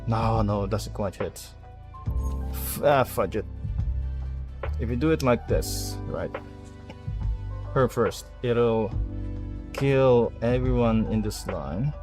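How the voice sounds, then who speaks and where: A man's voice: lowish voice, slow, long pauses, darkish tone, soft background music. A man giving casual gameplay commentary, thinking aloud and muttering half-finished plans with some mild swearing. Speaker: video game streamer.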